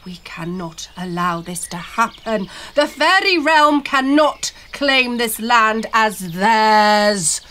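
A woman voicing a huge, hostile male troll ruler in a storybook, with a booming, growling delivery. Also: expressive, takes it slowly, few pauses, pushed and bright, exaggerated voice. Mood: suspicious anger. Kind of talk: storybook character voice.

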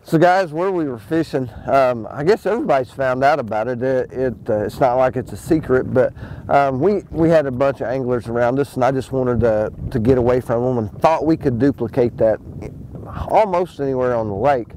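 Middle-aged male angler, outdoors on a lake boat, relaxed instructional talk with frequent fillers. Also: mid-range voice, moderate pace, a little hiss or hum, poor audio. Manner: relaxed, explains as he fishes, many ums and restarts. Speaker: fishing pro. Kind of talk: casual how-to fishing talk.

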